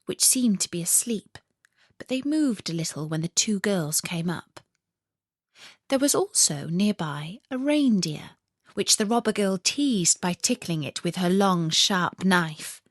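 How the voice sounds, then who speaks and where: A woman storyteller narrating a scripted fairy tale in a measured, expressive way. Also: mid-range voice, dry recording, clean studio sound.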